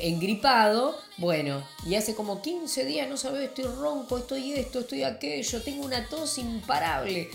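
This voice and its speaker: A woman's voice: medium pitch, reverberant. Chatty Argentine woman hosting morning radio. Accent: Argentine Spanish. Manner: warm greeting, rambling with many fillers and restarts. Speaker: morning radio show host. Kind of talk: casual chatty radio talk. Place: radio studio.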